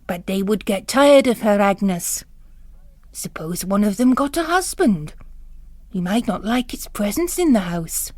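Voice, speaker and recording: A woman as a weary, sardonic priest, slow-spoken. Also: medium pitch, lively intonation, a little mellow, no room sound. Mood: grave. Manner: grave, wry.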